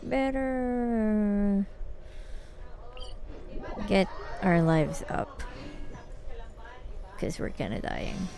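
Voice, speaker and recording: A woman's voice: mid-range voice, very animated, extremely slow, creaky, long pauses. A woman doing casual live gameplay commentary, thinking aloud in an informal way. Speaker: game streamer. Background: faint game music.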